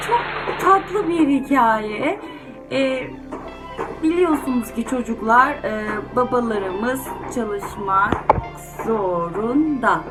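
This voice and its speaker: A woman's voice: on the high side, poor audio. Woman storyteller talking to children over music. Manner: gentle advice-giving, addressing children directly. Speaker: children's storyteller and channel host. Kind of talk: children's story reading, then informal address to children. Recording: music under the voice.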